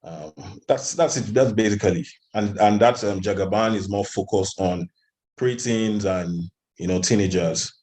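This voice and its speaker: A man's voice: on the low side, measured pace, a little mellow. A man from Nigeria giving conversational interview answers as an animation studio founder, in hesitant phrasing with repeated restarts.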